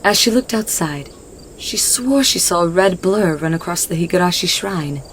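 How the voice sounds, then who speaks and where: A woman's voice: fairly low pitch, expressive, normal speed, a little hiss or hum. A woman narrator reading a scripted story in the third person.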